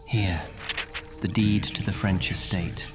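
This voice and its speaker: A man playing an imperious, cold, centuries-old vampire lord in a fantasy audio roleplay, with a breathy, whispery, menacing drawl. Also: sits low, steady tone, slow pace, over music. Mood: scornful dismissal.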